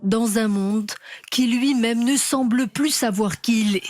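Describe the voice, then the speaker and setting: A woman reading a scripted introduction slowly and deliberately. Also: on the high side, darkish tone. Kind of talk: scripted narration.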